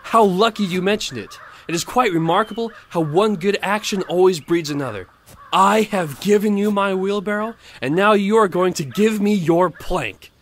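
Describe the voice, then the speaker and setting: An actor playing a pompous, self-righteous, grasping miller in an audio storybook drama, preachy in manner. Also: male voice, high-pitched, normal speed, slight background noise, close to an everyday voice. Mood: smug, demanding. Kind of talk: audio theatre storybook acting.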